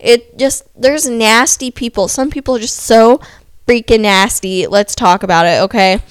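A woman's voice: on the high side, moderate pace, smooth, no room sound. A young woman hosting a casual podcast, ranting sarcastically about restaurant customers with a stuffy voice, as if she is losing it. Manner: animated, sarcastic, heavy use of "like". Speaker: podcast host.

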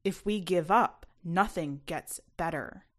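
A woman's voice: fairly low pitch, takes it slowly. A woman voicing a weary, guarded man in a story, evasive and cynical. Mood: angry, strained.

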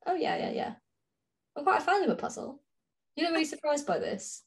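A woman's voice: high-pitched, big pitch swings, a little fast. A woman co-hosting a podcast, chatting casually with a friend in a playful, dryly teasing way. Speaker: podcast co-host. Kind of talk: casual chat between friends.

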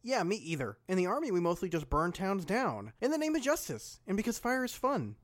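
A man's voice: sits high, big pitch swings, brisk, no echo, clean studio sound. A man playing a carefree, reckless, dim adventurer in a fantasy comedy audio drama, rambling in a casual slacker way. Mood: cheerfully absurd.

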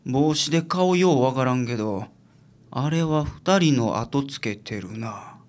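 ラジオドラマで、関西弁のぶっきらぼうで愚痴っぽい私立探偵を演じる男性。. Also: male voice, mid-range voice, animated, unhurried, a little mellow, close to an everyday voice. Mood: brisk, commanding. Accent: Kansai. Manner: blunt, grumbling. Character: gruff, grumbling male private detective boss. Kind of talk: radio drama acting.

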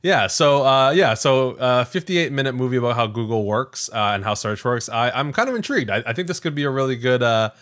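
A man's voice: mid-range voice, animated, brisk, few pauses, fairly bright tone. A male podcast host with a mid-range voice talks casually to his co-host. He speaks conversationally, hedging and working out his thoughts as he goes.

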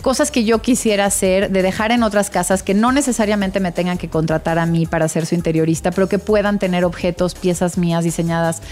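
A woman's voice: fairly low pitch, steady tone, brisk, hardly any pauses, a little mellow, faint music behind. A woman with a Mexican Spanish accent giving reflective, enthusiastic interview answers as an interior designer.

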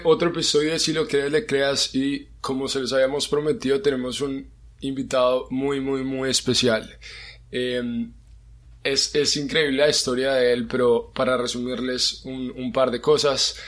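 A man hosting a podcast, introducing a guest in a steady way. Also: medium pitch. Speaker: podcast host.

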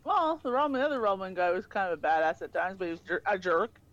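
A woman appearing as a guest on a podcast who chats casually, in an animated and slangy way. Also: medium pitch, fast talker, lo-fi sound.

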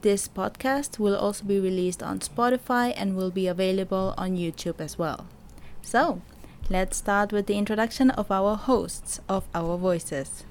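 A woman raised in Barcelona, co-hosting a podcast in an earnest, measured way. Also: mid-range voice, fairly even intonation, slight background noise. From Barcelona, Spain. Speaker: podcast co-host. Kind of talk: reading a podcast introduction.

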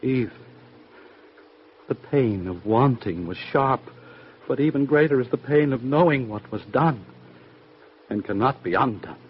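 A man's voice: mid-range voice, animated, a little slow, long pauses, slight background noise, lightly acted. An actor playing Adam, the first man, in an old radio drama, speaking in an earnest, declamatory way. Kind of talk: 1950s radio drama acting. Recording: dull old radio broadcast.

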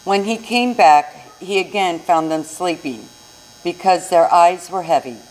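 A woman's voice: lowish voice, measured pace, darkish tone, rough recording. A woman narrating a scripted scripture reading in church. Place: inside a church.

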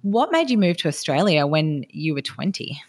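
A woman's voice: low-pitched, sing-song, moderate pace, darkish tone. A woman in her thirties hosting an interview, asking questions in an encouraging, affirming way. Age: 30s. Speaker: podcast host.

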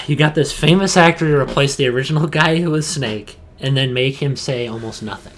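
A man's voice: medium pitch, moderate pace, fairly bright tone. A man co-hosting a podcast in casual pop-culture chat, slangy and opinionated in how he talks. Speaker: podcast co-host.

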